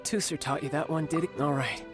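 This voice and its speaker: A man voicing a suave, playful young warrior and doting big brother in a video game, speaking with smooth, courteous confidence. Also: a little high, steady tone, a little fast, close to an everyday voice. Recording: music under the voice.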